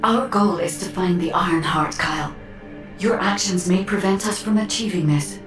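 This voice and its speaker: An actress voicing a calm, analytical guide in a video game, speaking in a measured, formal way. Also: female voice, on the low side, lightly acted. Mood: admonishing. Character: calm, analytical female guide advising fighters, earnest and measured. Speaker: guide character. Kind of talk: scripted video game dialogue. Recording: roomy, distant, music underneath.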